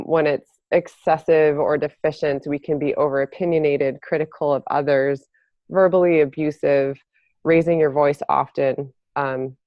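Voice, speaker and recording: Low-pitched woman co-host explaining calmly, dry and slightly dull recording. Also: no room sound. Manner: thoughtful, many ums. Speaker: podcast co-host. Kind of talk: explaining, casual chat.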